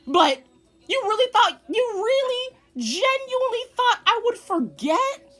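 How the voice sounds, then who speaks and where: A woman's voice: very high voice, unhurried. An eighteen-year-old woman telling a dramatic storytime to her vlog viewers, swearing freely. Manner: animated, dramatic, swearing often. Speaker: vlogger. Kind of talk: casual storytime.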